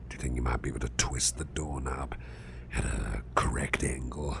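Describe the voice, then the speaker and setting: Casting: male actor as big grumpy boss for ASMR roleplay, extremely low gravelly murmur, halting and restrained, clear background noise. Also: fairly bright tone, full character voice. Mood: pondering, uneasy. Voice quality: very deep, gravelly, breathy near-whisper. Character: big, strong grumpy male boss trying to stay calm. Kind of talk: whispered ASMR roleplay, personal attention.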